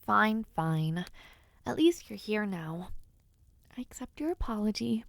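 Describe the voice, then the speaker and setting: A woman plays a young noblewoman in an intimate audio roleplay, speaking to the listener in genteel words with a teasing edge. Her pace is slow and expressive, her pitch is medium for a woman, and the sound is dry and close. She comes across as spirited and playfully impatient. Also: gravelly, dry recording. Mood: teasing, fond. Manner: expressive, sighing, genteel wording with teasing asides. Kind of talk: audio roleplay acting, addressing the listener directly.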